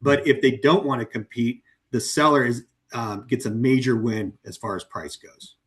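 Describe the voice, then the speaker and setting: Man talking loosely through a software demo. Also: medium pitch, moderate pace. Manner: conversational, with frequent ums and you-knows. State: voice going hoarse. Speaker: company co-founder presenting a webinar. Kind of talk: casual walkthrough of a software demo.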